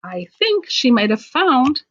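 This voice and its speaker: A woman teacher reading a storybook aloud to children, in simple phrases with deliberate pauses. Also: sits high, very animated, dull audio. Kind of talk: storybook read-aloud with explanatory asides.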